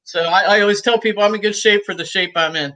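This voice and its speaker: A woman in her sixties, talking as a guest on a video call from home, chatty and easygoing and quick to laugh. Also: medium pitch, a bit bright. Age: 60s. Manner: talkative and easygoing, laughs often. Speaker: guest, cigar shop owner. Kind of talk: casual interview chat. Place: room at home.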